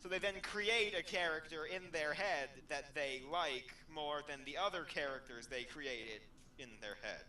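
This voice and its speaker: A man's voice: fairly high pitch, a little slow, sharp tone, low-quality recording. A man co-hosting a fan fiction reading show, trading casual sarcastic banter in a blunt, mocking manner.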